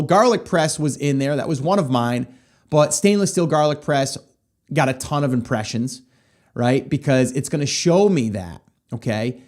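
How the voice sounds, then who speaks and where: A middle-aged man talks through his podcast in a loose, friendly ramble, tossing in little "okay?" checks as he goes. His voice sits somewhat high for a man. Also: a little mellow. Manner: chatty, lots of "okay?" and "right?" check-ins. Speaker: podcast host. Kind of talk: casual solo podcast advice.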